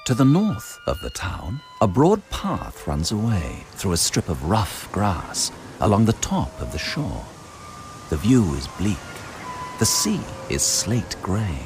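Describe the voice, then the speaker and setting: A man playing a grave reader of a bleak ghost story, narrating in a measured, literary and atmospheric way. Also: on the low side, huge pitch swings, over music, heavily acted. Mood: sombre.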